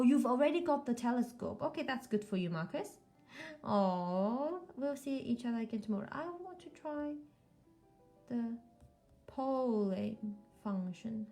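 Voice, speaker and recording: Woman, children's storytime host, mellow tone, medium pitch, chatty and encouraging, casual live chat, faint music behind. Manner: chatty, encouraging, asks children lots of questions.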